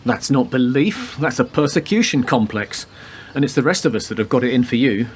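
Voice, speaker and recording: Man playing an animated, down-to-earth detective sergeant. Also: medium pitch, a little fast, close to an everyday voice. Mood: teasing. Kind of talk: radio play acting. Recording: noisy amateur recording with background ambience.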